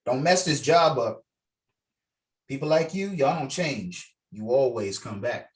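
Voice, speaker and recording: A man's voice: mid-range voice, animated, a little slow, natural voice. A man playing a stern, sneering parole officer in a script table read, threatening and mocking, with clipped orders. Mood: scornful. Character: stern, menacing, sneering male parole officer on a video call. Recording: distant mic on online call.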